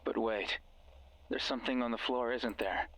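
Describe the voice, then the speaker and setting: A man's voice: mid-range voice, moderate pace, fairly bright tone, lightly acted, poor audio. An actor playing a manipulative, obsessive man's voice from a radio in a horror game, speaking with intimate, insistent, theatrical intensity. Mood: ominous narration. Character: manipulative, pleading male voice from a radio, obsessive and sinister. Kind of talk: dramatic horror game voice acting.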